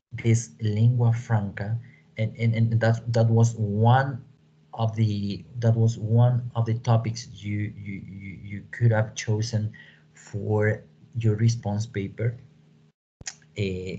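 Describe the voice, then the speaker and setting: A man with a Mexican accent, a native Spanish speaker, explaining to an online class as a lecturer in a halting way that repeats words and phrases. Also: fairly low pitch, warm tone. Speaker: English teaching lecturer. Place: small room at home, indoors. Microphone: computer microphone on video call. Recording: dull lo-fi video call audio.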